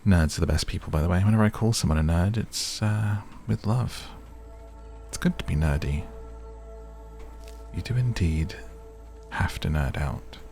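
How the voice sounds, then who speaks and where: A man giving relaxed ASMR gameplay commentary, musing gently and whimsically to the listener. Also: low-pitched, moderate pace, vocal fry, long pauses, faint music behind. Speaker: gaming video host.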